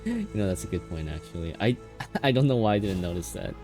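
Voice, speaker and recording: A man's voice: low-pitched, huge pitch swings, brisk. A man doing casual live commentary as he streams a video game, reacting aloud as he plays. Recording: game music behind the voice. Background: video game music.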